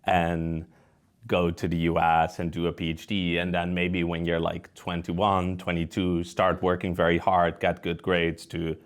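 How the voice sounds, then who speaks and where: Deep-voiced Dutch man explaining things. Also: completely flat delivery, measured pace. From Netherlands. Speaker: interview guest, researcher and professor. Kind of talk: conversational interview answers, explanatory.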